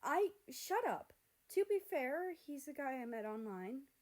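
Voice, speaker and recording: A woman's voice: high voice, very animated, a little slow, a little mellow, pitched above the performer's own voice. A woman voicing an angry, flustered young man in a story reading, defensive and exasperated.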